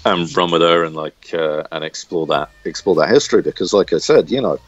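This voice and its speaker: A man's voice: deep voice, a little fast. A man chatting as an interview guest, a writer who speaks hesitantly and often searches for words, on a lo-fi recording. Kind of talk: casual interview chat.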